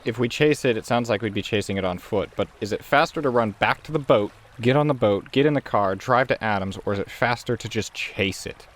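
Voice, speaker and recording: A man's voice: on the low side, a little fast, few pauses, fairly bright tone. A man playing a tabletop roleplaying game, casually talking through what his character does.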